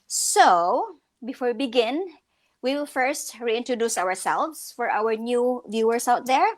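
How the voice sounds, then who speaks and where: A woman's voice: fairly high pitch, lively intonation, unhurried. A woman from the Philippines co-hosting a casual online business chat, chatty and upbeat with frequent fillers and restarts. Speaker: co-host. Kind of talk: casual live-stream chat.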